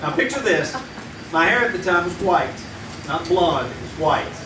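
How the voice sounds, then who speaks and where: A man plays a middle-aged friend telling a campfire story in a folksy, animated way. His voice sits fairly high. It was caught live in an echoey theater from some distance, with audible room noise. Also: normal speed. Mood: lively. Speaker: campfire storyteller in a radio play. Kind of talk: live old-time radio play acting.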